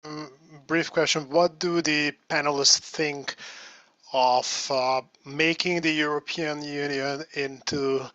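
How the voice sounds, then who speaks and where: A man's voice: fairly high pitch, steady tone, takes it slowly, bright, forceful tone, no room sound, muffled recording. A man asking a long, earnest question in an online academic seminar over a video call. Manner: earnest, argumentative, piles up figures.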